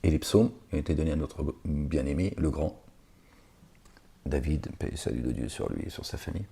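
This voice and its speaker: A man's voice: a bass voice, lively intonation, a little fast, creaky, warm tone, breathy edge. A man reading scripture aloud and commenting on it in a digressive way, with sarcastic asides and hesitant fillers. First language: not Arabic. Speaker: religious lecturer reading scripture.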